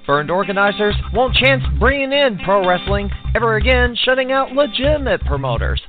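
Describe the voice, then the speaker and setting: A man's voice: high-pitched, big pitch swings, normal speed. Man reading an ad over music. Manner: emphatic, dramatic reading. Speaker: ad announcer. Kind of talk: scripted public service ad. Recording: tinny lo-fi audio with music.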